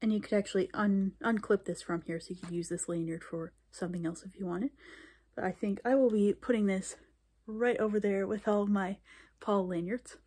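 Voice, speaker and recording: Chatty young woman with a husky voice. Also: medium pitch, fairly even intonation, a little fast, warm tone. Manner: chatty, many fillers and restarts. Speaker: vlogger. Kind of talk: casual recap vlog. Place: small bedroom indoors. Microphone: phone camera held close.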